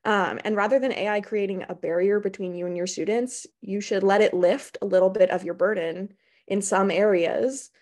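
A woman's voice: medium pitch, fairly even intonation, moderate pace, a little mellow. Young American woman presenting informally. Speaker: academic librarian presenting at a conference. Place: small indoor room on a video call.

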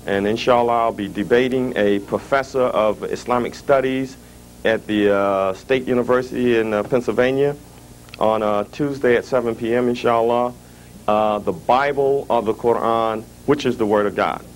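A man's voice: medium pitch, normal speed, a little hiss or hum, rough recording. A man giving closing remarks from a podium, often adding religious phrases. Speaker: speaker. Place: community hall. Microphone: podium mic.

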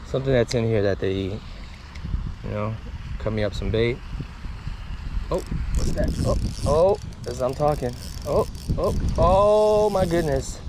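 Excitable man fishing outdoors, noisy camera audio. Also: medium pitch, unhurried. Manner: excitable, talks to viewers. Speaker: fishing vlogger. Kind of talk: casual running commentary while fishing. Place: outdoors at a park pond. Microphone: head-mounted action camera.

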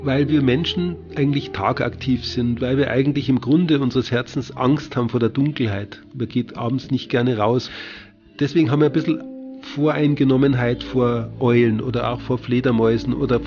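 A man's voice: mid-range voice, fairly even intonation, normal speed, a little mellow, faint music behind. Man explaining in a slightly dull recording. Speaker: owl expert being interviewed. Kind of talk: spontaneous explaining in an interview.

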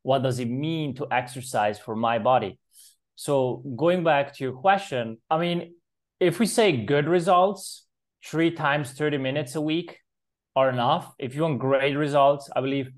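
A man's voice: on the high side, a little slow. A young man from Switzerland, a fitness coach, answering interview questions over a video call in an earnest, explanatory way. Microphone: video call webcam with earphones.

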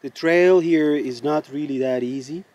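A man's voice: on the high side, moderate pace, a little mellow, highs cut off. A man, hosting a travel vlog on a foggy mountain trail and explaining the sights in earnest, to a camera held at arm's length. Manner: earnest, explanatory, speaks English with non-native phrasing. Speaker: travel vlogger host. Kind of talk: walking travel vlog narration. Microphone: handheld camera at arm's length.

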